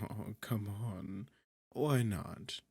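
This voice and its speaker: A man's voice: lowish voice, very slow, darkish tone, dry recording, studio quality, heavily acted. A man playing a sarcastic, seductive, arrogant demon king in an intimate ASMR fantasy roleplay, teasing and condescending as he talks. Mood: coaxing. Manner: sardonic, condescending, teasing, smooth-talking. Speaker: audio roleplay performer.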